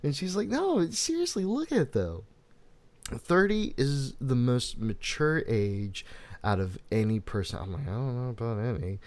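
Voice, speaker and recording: A man's voice: mid-range voice, moderate pace, no room sound. A man in his late twenties from the United States, a podcast host chatting casually and acting out quoted dialogue in his stories. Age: late 20s. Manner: animated storyteller, acts out quotes. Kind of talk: casual chat.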